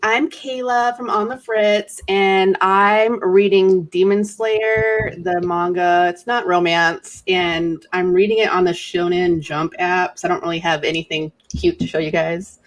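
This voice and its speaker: A woman's voice: fairly low pitch, fairly even intonation, measured pace, few pauses. A woman co-hosting a livestream, chatting casually with the others. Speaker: co-host of a reading livestream.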